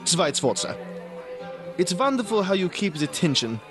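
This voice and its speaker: An actor playing an evasive, courteous Viennese baron in a radio drama, with an Austrian German accent and a hesitant, ingratiating manner. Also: male voice, high voice, very animated, normal speed, over music.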